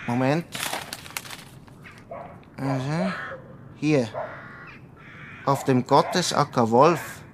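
A man's voice: mid-range voice, extremely slow, long pauses, noisy background. An actor in a radio play as a terse, guarded man who talks in clipped, evasive phrases. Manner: clipped, evasive short answers. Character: terse, guarded man reading news aloud to a woman. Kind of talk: naturalistic radio drama dialogue.

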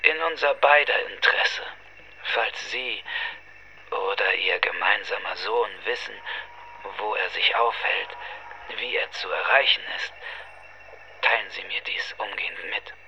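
A man playing a polite but ominous Zairian official in a radio drama, speaking formally and haltingly in a husky voice through a muffled filter. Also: fairly low pitch, bright, forceful tone, a little hiss or hum. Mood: menacing politeness. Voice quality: husky, gravelly, breathy. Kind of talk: radio drama monologue, spoken message. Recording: muffled, dull lo-fi transmission.

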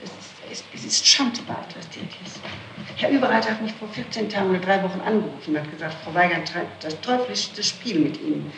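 A middle-aged woman answering questions in a recorded interrogation, emphatic, indignant and defensive, on an old tape. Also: lowish voice. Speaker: accused being questioned. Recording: old echoey, noisy tape, distant mic.

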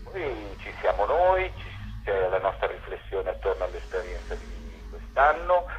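A man's voice: low voice, big pitch swings, a little slow. A man speaking as a radio guest, explaining a theatre programme in an interview. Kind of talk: spoken interview, presenting a programme. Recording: dull lo-fi phone call, faint noise.

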